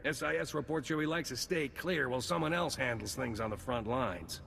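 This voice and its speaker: An actor playing a gruff male intelligence agent in a video game, curt and matter-of-fact. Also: mid-range voice, expressive, brisk, fairly bright tone, slight background noise. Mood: brisk. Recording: game audio with long reverb.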